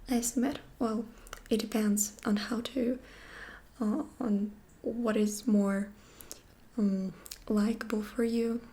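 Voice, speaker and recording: A woman whispers her way through a gentle ASMR language lesson. Her voice sits a little high and mellow, and she takes her time over every phrase, patient and unhurried. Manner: soft whisper, slow patient explaining. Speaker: Russian language teacher.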